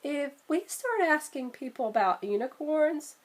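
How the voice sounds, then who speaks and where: Woman reading as a cautious, high-voiced young boy. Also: big pitch swings, measured pace. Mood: wary, puzzled.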